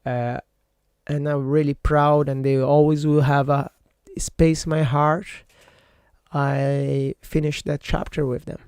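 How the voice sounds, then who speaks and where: A man's voice: on the high side, level tone, takes it slowly, darkish tone, clear, resonant tone, dry recording, clean studio sound. A man whose first language is Portuguese hosts a solo podcast in a rambling, casual way, full of fillers and self-corrections. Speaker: podcast host. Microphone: computer microphone.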